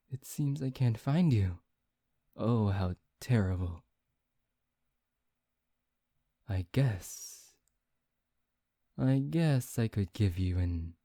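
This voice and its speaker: A performer voicing a smug, teasing high-ranking vampire in an ASMR roleplay, theatrical and coaxing, with playful menace and mock politeness. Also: dark tone, dry recording, clean studio sound, exaggerated voice. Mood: mock despair, teasing.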